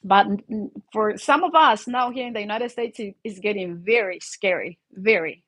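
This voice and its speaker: A woman's voice: mid-range voice, normal speed, a bit bright. A woman from Mexico with a Mexican accent and Spanish as her first language hosts an informal solo vlog, talking in a rambling, conversational way and often checking for agreement.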